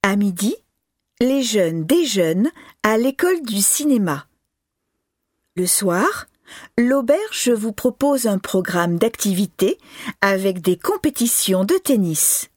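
A woman reading a scripted informational announcement with clear articulation. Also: medium pitch, big pitch swings, slow, a little mellow, no echo, studio quality. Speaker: announcement reader.

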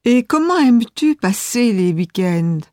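Woman doing scripted exam interview voice, slow and careful, no room echo. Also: on the high side, big pitch swings, a little mellow, studio quality. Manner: carefully enunciated. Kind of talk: scripted listening-exam reading.

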